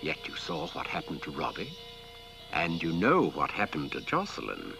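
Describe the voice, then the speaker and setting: An actor playing a dead husband, slow and accusing as he menaces his widow in a horror film. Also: a bass voice, huge pitch swings, creaky, music in the background, full character voice. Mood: menacing, reproachful.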